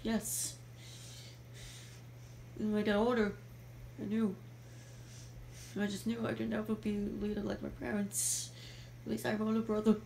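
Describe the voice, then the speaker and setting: A woman's voice: medium pitch, little pitch movement, moderate pace, gravelly, long pauses, a little mellow, natural voice. A woman in an amateur fandub playing a frightened, sorrowful young princess trapped in a tower, plaintive and dramatic, on a noisy home recording. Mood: wistful.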